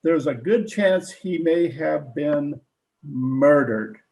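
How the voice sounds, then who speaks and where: A man's voice: on the high side, takes it slowly, vocal fry, darkish tone, slight background noise, rough recording. A man playing a plain-spoken police detective sergeant in an old-time radio drama, with a hesitant, folksy, polite manner. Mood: polite, probing.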